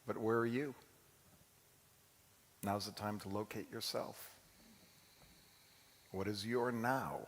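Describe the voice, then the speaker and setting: A man's voice: on the low side, steady tone, slow pace, pauses often. A man addressing listeners in a reflective way, posing rhetorical questions. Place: large synagogue hall, live performance. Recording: reverberant hall with faint noise.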